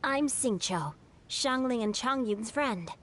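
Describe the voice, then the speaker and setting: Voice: mid-range voice, lively intonation, slow, a bit bright, slight background noise. An actor voicing a courteous, bookish, well-bred teenage boy in a video game, refined and polite. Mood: gracious.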